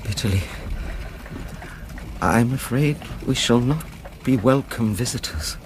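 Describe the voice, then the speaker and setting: An actor playing an anxious, fussy English gentleman who stammers and speaks formally in a radio drama. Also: mid-range voice, a little slow, lightly acted. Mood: grave. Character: anxious, fretful gentleman, easily driven to panic. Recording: noisy background ambience.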